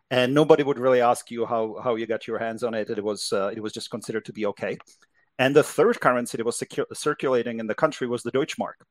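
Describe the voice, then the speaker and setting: A man's voice: mid-range voice, dry recording. A middle-aged man from Czechoslovakia, a native Czech speaker, explaining things as an interview guest. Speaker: interview guest, crypto investment executive.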